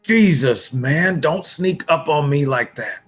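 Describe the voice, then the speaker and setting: A man's voice: on the high side, expressive, slow pace. A man acting a stubborn, defensive survivor in a horror comic table read, insistent and argumentative. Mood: irritated. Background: music under the voice.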